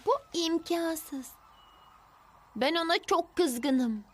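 Very high-pitched feisty teenage girl. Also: very slow, exaggerated voice. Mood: indignant. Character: feisty, defiant 15-year-old girl pilot. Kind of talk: animated series dub.

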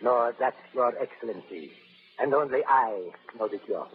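An actor playing a scheming male scientist who offers a deadly plague, with a smooth, insinuating manner, from a tinny 1930s radio broadcast. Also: mid-range voice, normal speed, close to an everyday voice.

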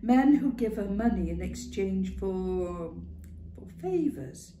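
Woman doing a worldly old matron: blunt, earthy, knowing, lively, heard in a roomy echo. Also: medium pitch, slow, noisy background. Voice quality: earthy dialect, hearty and knowing.

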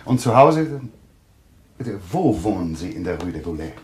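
Self-assured, animated doctor. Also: male voice, sits low, moderate pace, slight background noise. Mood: calm, directing. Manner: authoritative, clinical, reassuring. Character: self-assured, soothing male doctor taking charge. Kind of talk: German radio play acting.

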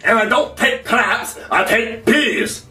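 A man's voice: very high voice, animated, slow, pushed and bright, echoey room, faint music behind, pitched below the performer's own voice, exaggerated voice. A man voicing a dopey, drawling ogre puppet. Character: slow, lazy male ogre puppet.

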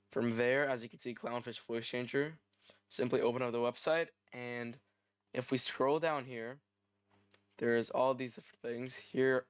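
A man hosting a software tutorial, narrating step by step in an instructional style. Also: mid-range voice, dry recording. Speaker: tutorial host.

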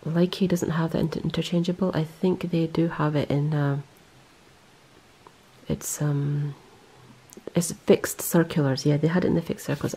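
Low gravelly woman, rambling knitting chat. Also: mellow. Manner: rambling, self-correcting, lots of ums. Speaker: knitting vlogger. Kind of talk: casual show-and-tell. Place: indoors, close-up on hands and yarn.

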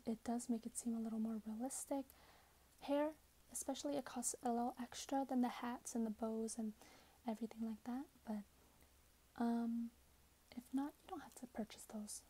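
A woman in her twenties speaking softly and breathily, often in a whisper, in a casual ASMR roleplay as a shop assistant chatting with a customer. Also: fairly high pitch, slightly rough, rough recording. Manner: casual, conversational, hesitant pacing as if chatting with a customer. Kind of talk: soft-spoken ASMR roleplay. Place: small plain room indoors.

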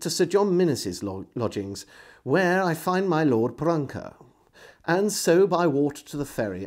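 A middle-aged man reading a historical diary aloud as a storyteller, in an expressive, measured way. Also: on the high side. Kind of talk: dramatic literary reading. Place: indoors, small room lined with bookshelves.

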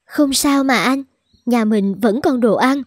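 A woman's voice: fairly high pitch, a little slow, dry recording, studio quality. A woman voicing a devoted, uncomplaining poor peasant wife and mother in a folk-tale cartoon. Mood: gentle. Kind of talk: cartoon dubbing.

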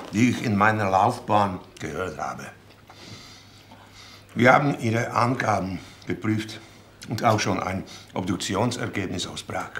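Official police inspector, noisy background. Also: male voice, fairly low pitch, a bit bright. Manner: official, deliberate. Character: male Czech police inspector, stern yet fair. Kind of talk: comedy radio play acting.

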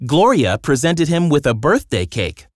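A storybook narrator reading a scripted children's tale in an expressive, dramatic way. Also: medium pitch, moderate pace, no echo, clean studio sound.

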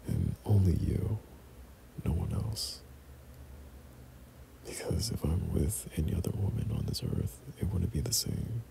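A young man playing an affectionate boyfriend in intimate ASMR roleplay, breathy and half-whispering, speaking hesitantly. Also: deep voice, noisy background, exaggerated voice. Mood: tender. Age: young adult. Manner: slow, hesitant, soft chuckles between phrases. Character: tender, affectionate young boyfriend talking to his partner. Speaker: audio roleplay performer.

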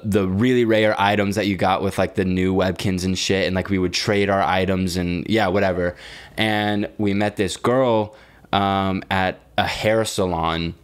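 A young man with a fairly low voice co-hosts a podcast. He tells stories in loose, slangy casual talk, swearing freely and teasing as he goes. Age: young adult. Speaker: podcast co-host. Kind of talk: casual chat, storytelling.

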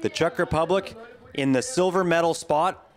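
A man giving measured play-by-play commentary on a ski jumping competition, speaking as a voice-over. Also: on the high side. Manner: calm, measured play-by-play, lifting on big jumps. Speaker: sports commentator.

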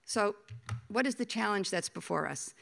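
A middle-aged woman giving a conference talk at a podium, hoarse from a cold, halting and repeating words as she thinks. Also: mid-range voice, animated, a bit bright. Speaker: panel speaker, agency official. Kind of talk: conference presentation. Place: conference hall stage, at a podium. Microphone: podium microphone.

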